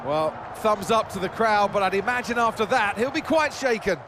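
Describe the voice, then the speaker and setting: A man as a high-pitched, excited race commentator. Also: moderate pace, natural voice. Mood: concerned. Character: excited, concerned motor racing TV commentator. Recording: loud trackside engine and ambient noise.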